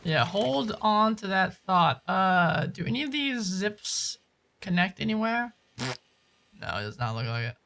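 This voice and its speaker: Animated, higher-pitched man streaming a game. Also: a little slow, gravelly. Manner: informal, slangy, says dude and man often. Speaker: game streamer chatting with viewers. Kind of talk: casual live-stream chat and gameplay commentary.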